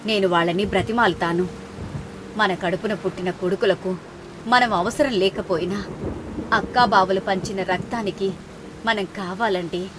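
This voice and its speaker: A woman's voice: mid-range voice, fairly even intonation, normal speed, long pauses, noisy background, lightly acted. A woman voicing a distressed older aunt, an earnest wife who pleads and coaxes plaintively in a moral story. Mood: coaxing. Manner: plaintive, coaxing. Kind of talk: moral story character dialogue.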